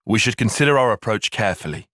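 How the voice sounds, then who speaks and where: Precise young soldier strategist, lively pitch. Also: male voice, dry recording, clean studio sound. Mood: measured, analytical. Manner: formal, measured, precise, dryly sardonic. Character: young male soldier strategist, precise, bookish, wry, easily flustered. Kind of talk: video game cutscene voice acting.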